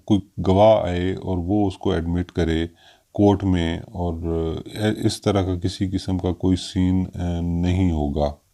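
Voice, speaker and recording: A man with a Pakistani accent giving an informal explanatory law lesson, hesitant with frequent drawn-out fillers and mixing in English terms. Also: sits low, fairly even intonation, warm tone. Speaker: law lecturer.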